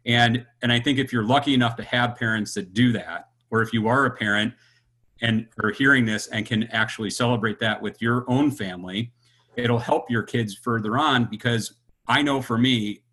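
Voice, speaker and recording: A man's voice: medium pitch, moderate pace, bright, forceful tone, poor audio. A man in his fifties speaking sincerely and thoughtfully as a podcast guest. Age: 50s. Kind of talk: reflective conversation.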